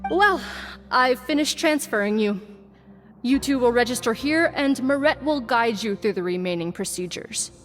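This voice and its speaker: A woman's voice: a little high, expressive, moderate pace, a bit bright, soft background music. An actress playing a polite, helpful female escort officer in a video game. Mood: businesslike.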